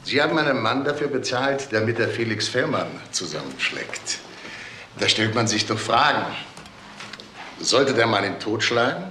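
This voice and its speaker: An actor playing a persistent police detective in a crime radio drama, pointed and measured. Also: male voice, medium pitch, animated, pushed and bright, a little hiss or hum. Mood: probing, confident.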